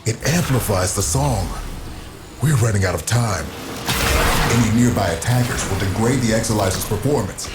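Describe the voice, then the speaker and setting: An actor voicing a grave, mournful man who guides a fighter through a video game mission in solemn, measured, formal words. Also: lowish voice, very noisy, natural voice. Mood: urgent, commanding. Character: grave, mournful man guiding a fighter aboard a haunted ship. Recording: game music loud behind the voice. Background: loud game music.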